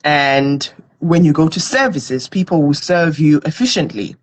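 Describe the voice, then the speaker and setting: A woman's voice: sits low, expressive, measured pace. A woman from Zimbabwe, a guest in a conversational interview, explaining earnestly with everyday examples.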